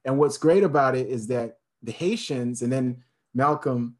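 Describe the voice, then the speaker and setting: A man's voice: medium pitch, normal speed. A man speaking passionately about history, building long emphatic lists and often restarting with fillers. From United States. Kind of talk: impassioned talk on history.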